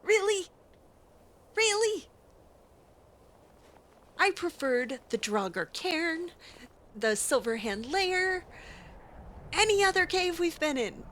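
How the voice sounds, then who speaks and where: A woman's voice: very high-pitched, big pitch swings, slow, pauses often, a bit bright, slight background noise, full character voice. A woman playing a sarcastic, determined female adventurer in a conversational, opinionated way, as an in-character game roleplay. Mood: exasperated. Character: sarcastic, clever, determined female adventurer who hates cruelty. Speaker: let's play host, role-playing in character. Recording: game music behind the voice.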